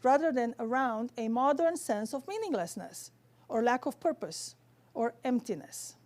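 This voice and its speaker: A woman with a Romanian accent giving an academic theology lecture in careful, halting, scholarly phrasing. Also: fairly high pitch, expressive, a little mellow.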